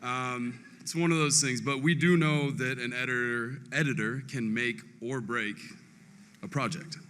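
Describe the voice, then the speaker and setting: A man's voice: mid-range voice, fairly even intonation, unhurried, a bit bright. A man presenting an award at a ceremony, speaking as an actor to the room from a theatre stage. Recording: echoey theatre hall, noisy stage mic.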